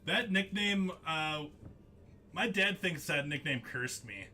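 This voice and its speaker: A man's voice: fairly high pitch, expressive, takes it slowly, sharp tone, a little hiss or hum. A young man hosting a live trading-card break stream, chatting casually with viewers by name, laughing often as he reads out the cards he pulls.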